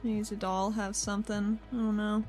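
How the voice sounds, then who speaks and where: A woman playing an earnest, frank female handler in a tabletop game, explaining herself in an informal, halting way. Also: medium pitch, flat delivery, a little mellow, faint music behind, lightly acted. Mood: weary, defensive. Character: earnest, frank female handler defending her troublesome partner.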